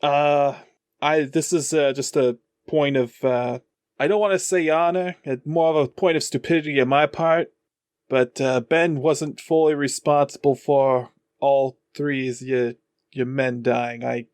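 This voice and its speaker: A man's voice: medium pitch, fairly even intonation, a little slow, darkish tone, clear, resonant tone, no echo, close to an everyday voice. A man playing a bumbling, apologetic sidekick in a tabletop game, hesitant and rambling with frequent stammers. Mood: sheepish, nervous.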